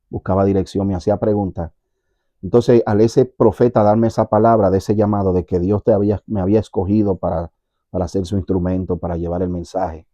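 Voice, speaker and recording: A man with a Dominican accent, a pastor sharing his personal testimony in an earnest, reflective way, often pausing and repeating words. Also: fairly low pitch, mellow, dry recording, muffled recording. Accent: Dominican Spanish. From Dominican Republic.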